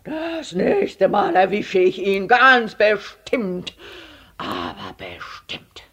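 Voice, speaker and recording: A woman playing a menacing, hot-tempered male neighbour on an elephant hunt in a storybook, wheezing and shouting. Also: mid-range voice, wildly swooping pitch, very slow, slightly rough, full character voice. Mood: thwarted menace.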